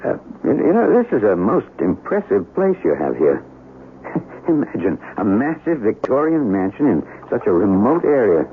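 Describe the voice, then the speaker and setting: An actor playing a rattled, haunted traveler in a 1970s radio drama, talkative and earnest, with a hesitant stammer. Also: male voice, lowish voice, huge pitch swings, normal speed. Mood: polite, uneasy. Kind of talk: 1970s radio drama acting with first-person narration. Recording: tinny, hissy 1970s radio broadcast.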